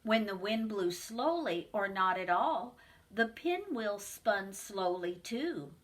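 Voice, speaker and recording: Slow, lively storybook-reading woman. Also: mid-range voice. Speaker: preschool teacher. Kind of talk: reading a picture book aloud to children.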